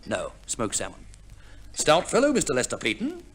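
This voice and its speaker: An actor playing a droll, opportunistic gentleman husband in a genteel 1950s radio comedy play, on an old recording. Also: medium pitch, huge pitch swings, moderate pace, a little hiss or hum. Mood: gleeful, hungry.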